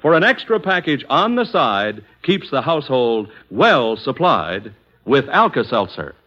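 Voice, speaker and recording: Animated American radio pitchman, old recording. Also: a little slow. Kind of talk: 1940s radio advertising announcing. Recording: dull vintage 1940s radio recording.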